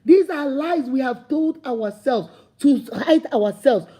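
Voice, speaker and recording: A Nigerian-accented woman delivers a fired-up motivational talk, her high voice husky at the edges but mellow in tone. She preaches in repeating, building phrases that rise to emphatic calls. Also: lively intonation, slow. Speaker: motivational speaker. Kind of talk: impassioned motivational talk to camera.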